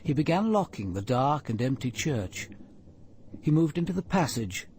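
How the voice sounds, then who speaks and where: A man playing a stern, probing police commander in a radio drama, speaking in a measured, incisive way. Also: medium pitch, very animated, a little mellow, slight background noise. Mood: reflective narration. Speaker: actor playing the lead detective and narrator. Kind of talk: radio drama acting and narration.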